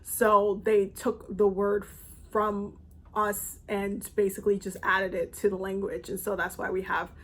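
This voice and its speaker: A woman's voice: mid-range voice, little pitch movement, a little slow. Chatty young woman, loose and rambling. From Dominican Republic. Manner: loose and chatty, many ums and restarts. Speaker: spiritual content creator. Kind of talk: casual vlog talk to viewers.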